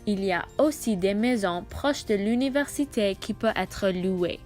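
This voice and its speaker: A woman's voice: medium pitch, lively intonation, normal speed, a little mellow, soft background music. A young woman narrating a school-project video tour in a careful, read-aloud manner. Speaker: student presenting a school project. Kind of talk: scripted voice-over narration.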